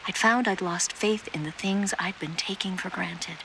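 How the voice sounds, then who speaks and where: An actress playing a cool, worldly female spy in a video game, speaking in a measured, enigmatic way. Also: on the low side, vocal fry, sharp tone, a little hiss or hum, rough recording. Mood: reflective.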